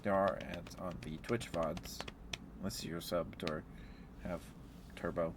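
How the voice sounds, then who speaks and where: A man chatting casually on an ASMR livestream as the host, in a hesitant, halting, chuckly and apologetic way. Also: deep voice, steady tone, noisy background. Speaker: live streamer hosting an ASMR stream.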